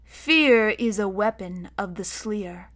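A woman voicing an ancient, solemn guardian spirit that chants slowly and ominously in a storybook narration. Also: medium pitch, expressive, fairly bright tone. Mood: petulant, proud. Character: ancient, dry, many-voiced guardian spirit, solemn and petulant.

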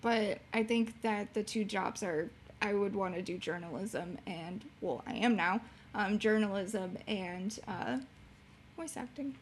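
A woman's voice: unhurried, a little hiss or hum. A young woman with a youthful voice and a bubbly, giggly manner, chatting casually as a guest in an interview. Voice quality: high, youthful voice. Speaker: podcast guest, streamer and beginning voice actor.

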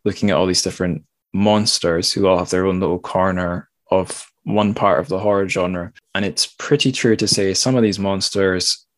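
A man with a deep voice hosts a literary podcast. He talks casually and thinks aloud, circling around his point and poking fun at himself as he goes. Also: fairly even intonation, moderate pace, hardly any pauses. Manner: rambling, thinking aloud, self-deprecating. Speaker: podcast host.

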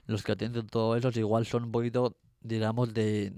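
A man's voice: fairly low pitch, flat delivery, normal speed, mellow, no room sound, clean studio sound. Laid-back young male radio co-host. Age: young adult. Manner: laid-back, slangy banter. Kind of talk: casual radio chat. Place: university radio studio.

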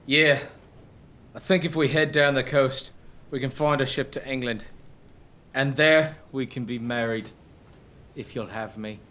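A man's voice: medium pitch, fairly even intonation, unhurried, pauses often, sharp tone. A man playing a gallant, confident young lover, earnest and self-assured, in a gothic comedy audio drama. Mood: relieved, tender.

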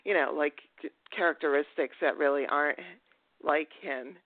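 A man's voice: fairly high pitch, normal speed, no echo. A man hosting an interview, asking rambling questions full of "you know.". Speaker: podcast host, interviewer. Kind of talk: casual interview chat. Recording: dry tinny phone-like line.

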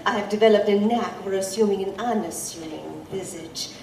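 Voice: medium pitch, a little mellow, distant mic, close to an everyday voice. Female actor ancient wise being, formal archaic phrasing, wry, off-mic, echoey, faint noise, audio drama. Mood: wry, measured. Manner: formal, measured, archaic wording.

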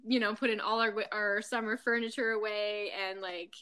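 A woman's voice: mid-range voice, steady tone, normal speed, sharp tone, clean tone. A woman from Quebec City whose first language is French, chatting as a podcast guest in an upbeat way and laughing between sentences. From Quebec City, Canada. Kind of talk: casual chat.

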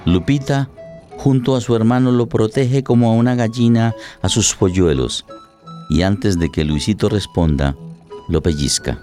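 Radio drama narrator, male, fairly low register, scripted dramatic storytelling over a soft music bed. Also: moderate pace.

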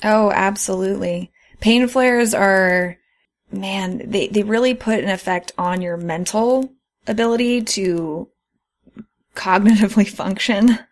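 A woman's voice: medium pitch, measured pace. A woman co-hosting a podcast, talking casually and explaining things in an earnest way. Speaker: podcast co-host. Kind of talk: casual conversational podcast talk.

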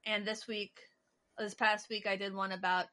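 A woman's voice: medium pitch, little pitch movement, moderate pace, bright, forceful tone. A young woman co-hosting a casual podcast, chatty and teasing her co-host. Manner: teasing, chatty, laughs easily. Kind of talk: casual chat.

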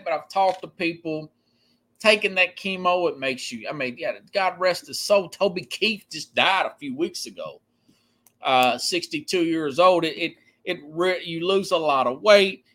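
A man with a fairly high, very bright and forceful voice delivers off-the-cuff sports opinion as a podcast host. He speaks emphatically, stopping and restarting and repeating himself to drive a point home. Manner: halting, repetitive, emphatic. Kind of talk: unscripted opinionated sports commentary.

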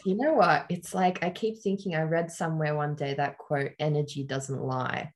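A woman with a deep, low voice co-hosting a casual chat, talking loosely and laughing often. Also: steady tone, normal speed. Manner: giggly, chatty, laughs often. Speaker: podcast co-host. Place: Australia.

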